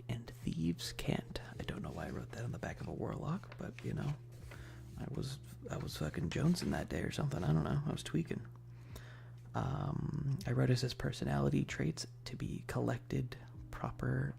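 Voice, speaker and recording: A man streaming casual ASMR chat in a rambling, hushed way, often dropping toward a whisper, with a gravelly voice. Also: medium pitch, sing-song, normal speed.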